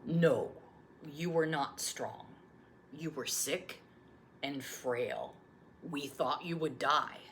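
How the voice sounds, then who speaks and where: A gentle old grandfather played by a woman storyteller, low and unhurried. He sounds solemn and hushed as he tells his storybook tale, with noticeable noise behind the voice. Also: very animated, exaggerated voice. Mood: grave, tender. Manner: slow, grave, hushed storytelling. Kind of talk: storybook read-aloud.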